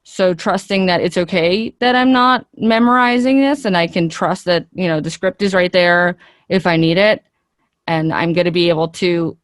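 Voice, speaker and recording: A woman's voice: on the low side, dry recording, clean studio sound. A woman speaking as an interview guest, an actress giving earnest, talkative answers in a conversational way and thinking aloud.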